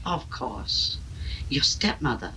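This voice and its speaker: A woman's voice: a bass voice, very animated, takes it slowly, pushed and bright, a little hiss or hum, heavily acted, rough recording. A woman playing a kindly, solicitous Victorian neighbour in a 1970s radio drama, with a sympathetic, theatrical manner. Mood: sympathetic, soothing.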